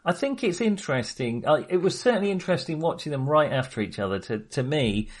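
A man's voice: fairly high pitch, lively intonation, fairly quick. A man co-hosting a casual film discussion, speaking in a hesitant, self-qualifying way. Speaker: podcast co-host discussing films.

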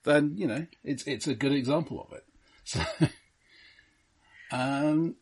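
A man's voice: on the high side, slow, husky. A man co-hosting a podcast, discussing film casually and stammering over the starts of his phrases. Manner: stammering, repeating word starts. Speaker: podcast co-host.

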